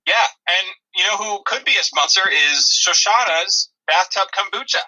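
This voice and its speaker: Very sharp, bright-voiced defensive male podcaster. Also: high voice, poor audio. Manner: defensive, halting, aggrieved. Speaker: comedy podcast co-host. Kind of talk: improvised comic banter.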